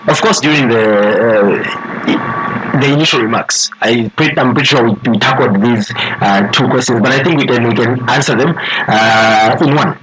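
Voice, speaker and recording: A man's voice: medium pitch, normal speed, poor audio. Zambian male radio host, chatter behind him. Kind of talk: live radio phone-in hosting. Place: radio studio. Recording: radio broadcast with background chatter.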